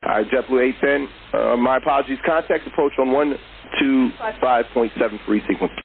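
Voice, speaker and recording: A man's voice: medium pitch, brisk, gravelly, breathy edge, narrow, tinny audio. A man working as an air traffic controller, giving clipped instructions in aviation phraseology over the radio. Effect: radio transmission.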